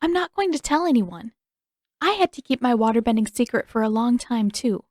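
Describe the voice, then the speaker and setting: A woman's voice: fairly high pitch, expressive, fairly quick, a little mellow, no room sound, studio quality, pitched above the performer's own voice. A woman voicing an earnest, apologetic teenage girl in an audiobook, with a reassuring but easily flustered manner. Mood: gentle, reassuring. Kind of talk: audiobook character acting.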